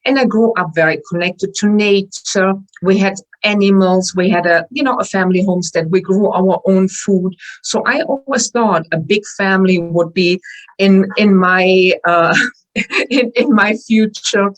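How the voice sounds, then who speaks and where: A woman with a German accent, a wellness coach speaking earnestly as an interview guest, with some halting restarts. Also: mid-range voice, rough recording. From northwestern Germany. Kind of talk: interview conversation.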